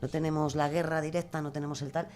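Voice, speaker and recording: Low-voiced woman, musing hesitantly. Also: level tone, fast, darkish tone. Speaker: podcast guest. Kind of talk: conversational interview answers.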